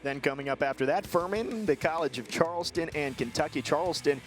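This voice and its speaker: A man's voice: a little high, brisk, music in the background. A man with an American accent giving live play-by-play golf commentary as a sports broadcaster.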